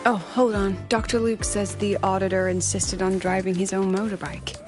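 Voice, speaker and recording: An actress playing a shrewd, scheming academy professor who talks in a coaxing, conspiratorial way. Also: female voice, medium pitch, level tone, moderate pace, over music, lightly acted. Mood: earnest. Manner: coaxing, conspiratorial, slightly awkward. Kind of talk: video game voice acting.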